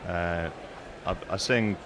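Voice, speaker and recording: Brief: male guest, low baritone, modest and halting, faint noise behind. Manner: modest, hesitant, many fillers. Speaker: guest, singer-songwriter. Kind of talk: casual radio interview.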